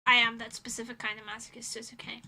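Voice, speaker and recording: A woman from Europe chatting casually on a livestream in an animated, dramatic manner. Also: fairly high pitch, very fast. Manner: animated, dramatic exaggeration, swearing. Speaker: livestreamer, VTuber.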